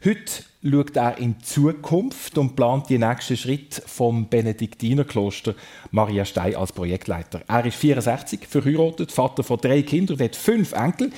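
A man's voice: medium pitch, dark tone. Swiss German man hosting a talk show. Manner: friendly, asks short follow-up questions. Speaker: radio talk show host. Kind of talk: relaxed interview chat.

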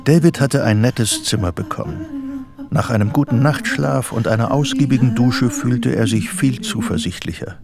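A man narrating a psychological thriller in a radio play, reading literary prose in a measured, serious way. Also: medium pitch, creaky, lightly acted. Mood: sober. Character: sober third-person storyteller of a psychological thriller. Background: music.

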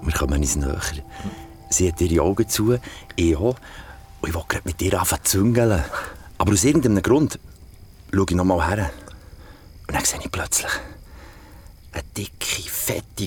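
A man's voice: fairly low pitch, animated, a little slow, faint music behind. An actor playing a young man telling a creepy story by a campfire in an audio drama, with a Swiss German accent and colloquial, confiding delivery. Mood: hushed dread. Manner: colloquial, crude slang, confiding.

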